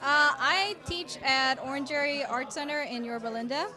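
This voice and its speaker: A woman's voice: high voice, normal speed, crowd noise. A young woman from Costa Rica, an art teacher, chatting enthusiastically in an interview at an art gallery, laughing often. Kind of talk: casual interview chat.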